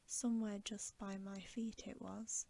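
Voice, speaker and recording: Woman whispering as a slow, good-natured giant. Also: medium pitch, level tone, creaky, mellow. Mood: sleepy, puzzled. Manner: homely, rustic, polite. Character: huge, good-natured, deferential male giant. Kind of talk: storybook reading in ASMR.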